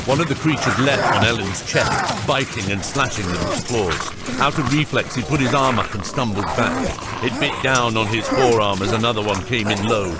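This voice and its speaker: A man giving measured, scripted dramatic narration as the narrator of an epic fantasy audiobook. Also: medium pitch, lively intonation. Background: prominent music.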